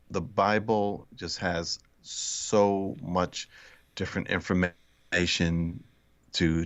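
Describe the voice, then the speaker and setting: A man's voice: deep voice, no echo, dull audio. A man from the Philadelphia area being interviewed as an author, speaking reflectively with many restarts and hesitations. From Philadelphia area, United States. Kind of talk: casual interview chat.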